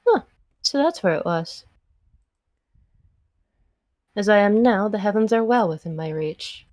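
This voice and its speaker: A woman's voice: mid-range voice, lively intonation, moderate pace, darkish tone, no echo, natural voice. A woman voicing an arrogant, sadistic would-be goddess in a visual novel, haughty and theatrical as she savors her words. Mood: triumphant. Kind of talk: dramatic visual novel monologue.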